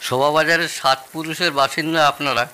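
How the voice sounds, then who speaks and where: An actor playing a proud, excitable host in his sixties in an audio drama, theatrical, boastful and needling. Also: a little high, a little fast. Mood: indignant, boastful. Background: rain.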